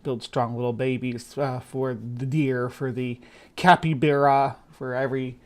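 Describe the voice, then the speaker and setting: A man's voice: medium pitch, fairly even intonation, a little slow. A man hosting a casual live stream, talking in a rambling way with many fillers and restarts. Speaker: stream host.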